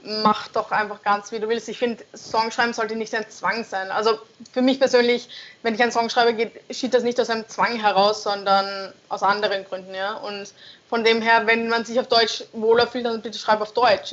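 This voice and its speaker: A young woman songwriter answering interview questions casually, hesitant and searching for words, with frequent laughs. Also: mid-range voice. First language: German. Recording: dull lo-fi sound.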